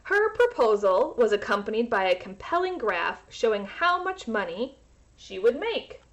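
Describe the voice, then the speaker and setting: A woman reading a children's storybook aloud in an expressive, teacherly way, pausing to explain words to young listeners. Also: fairly high pitch, muffled recording.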